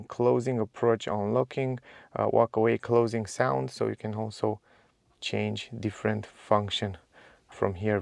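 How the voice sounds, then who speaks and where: Gravelly low-voiced man showing a car. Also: darkish tone, no echo. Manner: chatty, addresses viewers as guys, simple non-native phrasing. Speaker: car reviewer. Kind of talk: casual walkthrough to camera. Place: inside a parked car.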